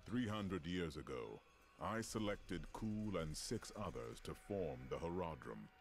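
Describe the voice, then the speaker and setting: A man's voice: sits low, expressive, a little slow. A man voicing an ancient, stern archangel of justice in a fantasy video game, grave and formal in delivery. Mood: stern, warning. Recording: dramatic music behind.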